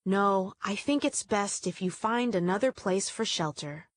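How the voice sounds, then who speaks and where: A woman voicing a massive, proud female oak tree in a children's storybook, speaking in a firm, measured way and guarding her own strength. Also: mid-range voice, animated, dry recording, studio quality, natural voice. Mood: firm refusal.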